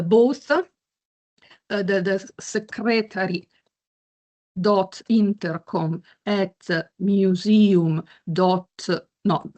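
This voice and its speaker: A woman chairing an online meeting, answering questions hesitantly with many fillers and restarts over a video call. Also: medium pitch. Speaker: chair of a museum committee.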